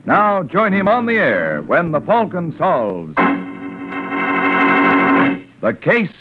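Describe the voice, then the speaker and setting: Old-time radio pitchman, male, salesmanlike and highly animated, narrow tinny sound with music underneath. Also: takes it slowly. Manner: emphatic, salesmanlike. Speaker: radio announcer. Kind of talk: 1940s radio announcing and sponsor pitch.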